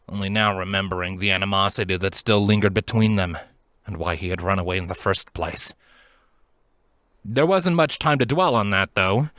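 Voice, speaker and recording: A man narrating a scripted story in an expressive, dramatic manner. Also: lowish voice, no room sound. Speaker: fan fiction reader, narrator.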